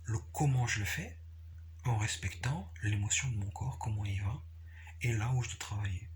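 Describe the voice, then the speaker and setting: A man giving a casual, unscripted explanation, repeating himself haltingly and often checking that the listener follows. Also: on the low side, slight background noise. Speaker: capoeira practitioner sharing views.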